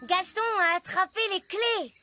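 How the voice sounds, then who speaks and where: A woman's voice: very high voice, a little slow, over loud music. A woman playing an earnest, concerned little elf boy in a cartoon, speaking eagerly. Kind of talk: animated cartoon dub.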